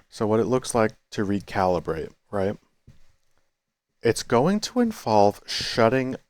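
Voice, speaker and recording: Low-pitched male vlogger in a dry, close recording, talking through his thoughts unscripted with halting restarts. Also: no echo. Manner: thinking aloud, many restarts and self-corrections; quits smoking, chews sunflower seeds. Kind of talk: rambling reflective monologue. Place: cafe.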